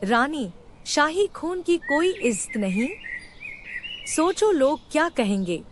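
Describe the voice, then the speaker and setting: A woman's voice: high-pitched, sing-song, slow, slight background noise, heavily acted. A woman voicing a stern, proud, class-conscious queen mother in a cartoon story. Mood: scornful.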